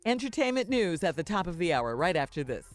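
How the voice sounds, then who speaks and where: A woman's voice: on the low side, sing-song, fairly quick, heavy background noise. A woman co-hosting a radio show, delivering entertainment news in a conversational style. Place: radio studio.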